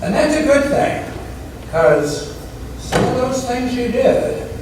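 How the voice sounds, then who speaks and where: Heard from far off in a noisy, echoing theatre, a man plays a fatherly former parole officer. His high, lively voice is casual and encouraging, with a wry, blunt streak. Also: takes it slowly, heavily acted. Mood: blunt, downbeat. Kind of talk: community theatre stage acting. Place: indoor theatre stage, mic far off. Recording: distant, very echoey stage recording with clear noise.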